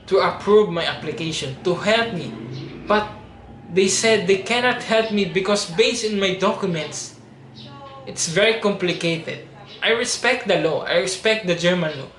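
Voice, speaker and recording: A man in his twenties with a Filipino accent, making an earnest, pleading personal appeal to camera. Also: high voice. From Philippines. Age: 20s. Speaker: reality show audition applicant. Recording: roomy echo with steady background noise.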